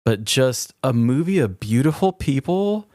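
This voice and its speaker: A man's voice: mid-range voice, big pitch swings, a little slow, mellow, no room sound, studio quality. An American man co-hosting a comedy podcast, telling stories in a casual, rambling, conversational way. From US Midwest. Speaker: podcast co-host.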